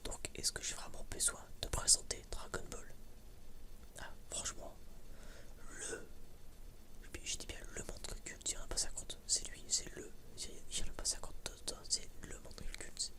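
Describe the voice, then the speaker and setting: A man's voice: normal speed, sharp tone. A young man with a French accent whispering as an ASMR video host, rambling hesitantly and often repeating himself.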